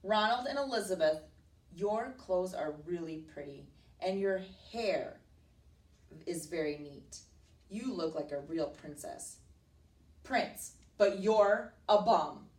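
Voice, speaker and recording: A woman's voice: fairly low pitch, lively intonation, takes it slowly, pauses often, recorded from a distance. Woman playing a bold young princess, roomy echo. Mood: defiant. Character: bold, clever young princess, flattering then defiant.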